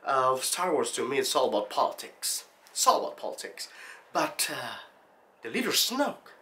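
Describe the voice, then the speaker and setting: A young adult man talking casually to camera as a tutorial host, in an animated, jokey, excitable manner. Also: medium pitch. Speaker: host of video-effects tutorials. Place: small indoor studio room.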